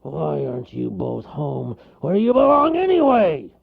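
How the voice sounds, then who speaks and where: A man voicing a huge, grumpy bear who wants sleep, with a gruff, growling, exasperated roar in a children's story. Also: a little high, huge pitch swings, measured pace, phone-quality sound, voice pushed up, exaggerated voice. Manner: roaring, exasperated bellowing.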